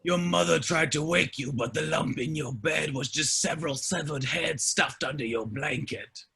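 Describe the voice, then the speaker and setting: A man acts out a menacing humanoid demon father in his fifties, high-pitched and rough-edged. He is cold, formal and imperious, issuing threats in a comedy script reading. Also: moderate pace, bright, forceful tone, exaggerated voice. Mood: cold, stern. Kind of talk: comedy script table read.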